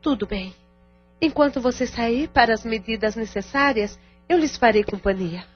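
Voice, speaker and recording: A woman's voice: a little high, moderate pace, close to an everyday voice. A Brazilian woman playing a stern, indignant but supportive middle-aged sister in an audio novela. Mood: stern, concerned. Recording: dull lo-fi audio with music bed.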